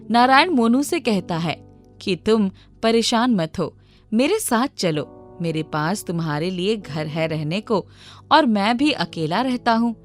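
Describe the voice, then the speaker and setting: A woman reading a scripted children's moral story as its narrator. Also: mid-range voice.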